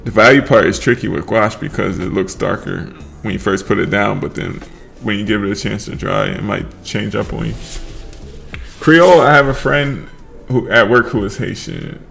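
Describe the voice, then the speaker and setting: A man casually chatting on a livestream while he paints, relaxed and slurring his words together, trailing off mid-sentence. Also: fairly low pitch, moderate pace, creaky. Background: faint lo-fi background music.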